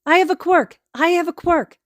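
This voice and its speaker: Lady doing a timid excitable little boy, stammery and gushing, higher pitched, storybook style, close dry sound. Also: moderate pace, dry recording. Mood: overjoyed. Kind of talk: fan fiction audiobook acting.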